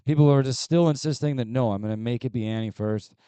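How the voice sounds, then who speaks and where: A man's voice: mid-range voice, quick pace, warm tone, clear, resonant tone, no room sound. A man who runs tournaments, speaking on a panel in a candid, argumentative way. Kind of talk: panel discussion.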